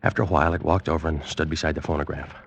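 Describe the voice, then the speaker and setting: Low-voiced, weary radio drama seaman. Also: steady tone, rapid-fire, lo-fi sound, a little hiss or hum. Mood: grave dread. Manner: grave, weary, suspenseful. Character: weary, injured ship's officer recounting horrors. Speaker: actor, first-person narrator. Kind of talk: 1940s-style radio drama narration. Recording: vintage radio drama with music.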